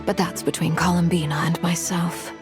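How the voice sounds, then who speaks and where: A woman voicing a composed, guarded woman commander in a video game, speaking in a measured, diplomatic way. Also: lowish voice, level tone, music in the background, lightly acted.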